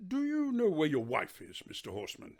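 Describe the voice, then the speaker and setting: A man's voice: a little high, huge pitch swings, normal speed. A man playing a hesitant, formal police detective in a radio play. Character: hesitant, formal male police detective bearing bad news.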